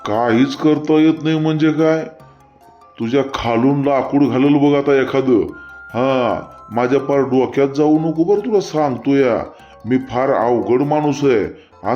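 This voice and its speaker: Dull, lo-fi audio with clear music behind it carries a man acting an irate cartoon village farmer. He speaks rural Maharashtrian Marathi at a middle pitch, blunt and stubborn. He haggles and curses in a rustic, repetitive way. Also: a little slow, natural voice. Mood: angry haggling. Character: irate, stubborn, foul-mouthed village farmer complaining to customer care. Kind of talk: comic cartoon phone-call sketch.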